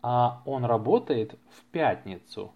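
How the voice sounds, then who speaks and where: A man teaching a language lesson at a very unhurried pace, enunciating each phrase carefully and repeating it so learners can follow. His voice sits at an ordinary middle pitch. The recording is a slightly dull, lo-fi podcast track. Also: expressive. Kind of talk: slow language-lesson podcast reading practice dialogues.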